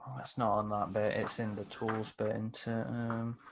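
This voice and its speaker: A man's voice: fairly low pitch, flat delivery, moderate pace, slight background noise. A man chatting casually and a bit swearily as a player in a tabletop game. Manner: mildly sweary.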